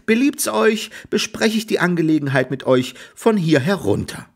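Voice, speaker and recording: A man's voice: on the high side, expressive, normal speed, voice pushed up. A man voicing a cheeky, glib sixteen-year-old boy who argues from a tree in an audiobook. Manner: cheeky, argumentative. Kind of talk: audiobook character voice.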